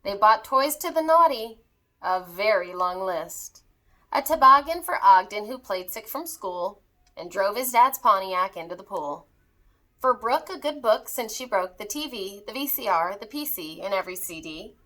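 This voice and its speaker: A woman reading a rhyming children's story aloud with expressive storytelling delivery. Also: medium pitch, normal speed, rough recording.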